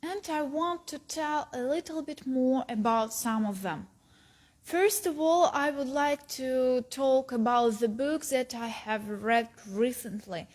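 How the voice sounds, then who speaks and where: A young woman, a university student whose first language is Russian, giving a careful, halting talk about books in English, with many pauses. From Kazakhstan. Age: young adult. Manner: rises in pitch at the start of each new point. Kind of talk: recorded book talk.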